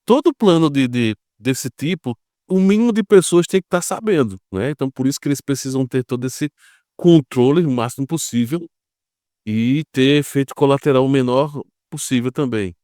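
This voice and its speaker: A man's voice: medium pitch, moderate pace, warm tone, dry recording, studio quality. A Brazilian man giving informal explanatory commentary in Brazilian Portuguese, conversational, often posing rhetorical questions and checking in with short tags. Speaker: geopolitics commentator.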